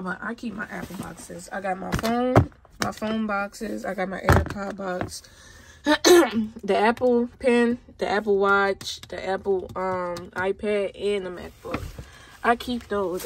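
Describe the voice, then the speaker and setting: Chatty young woman vlogging at home. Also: medium pitch. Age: young adult. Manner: chatty, rambling, addresses viewers. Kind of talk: casual chat.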